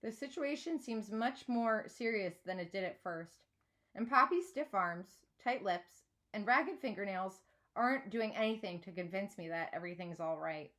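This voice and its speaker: A woman reading a children's novel aloud like a teacher, in an expressive storytelling manner. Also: medium pitch, moderate pace. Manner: clear storytelling with acted dialogue.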